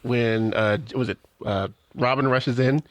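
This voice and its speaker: Mid-pitched man chatting, dry close sound. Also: fairly even intonation, unhurried, no echo. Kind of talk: casual podcast chat.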